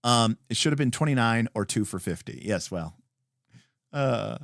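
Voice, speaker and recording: A man's voice: mid-range voice, expressive, normal speed, creaky, fairly bright tone, dry recording, studio quality. A man hosting a livestream, chatting casually and unscripted, thinking aloud in a rambling, emphatic, opinionated way as he responds to viewers. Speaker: livestream host. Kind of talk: reading and answering viewer comments.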